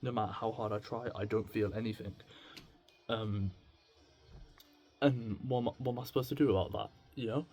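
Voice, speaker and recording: A man's voice: fairly low pitch, steady tone, normal speed, long pauses, darkish tone, faint music behind. A man in his late teens talking casually and confessionally to camera, rambling and stammering as he thinks aloud. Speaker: video blogger.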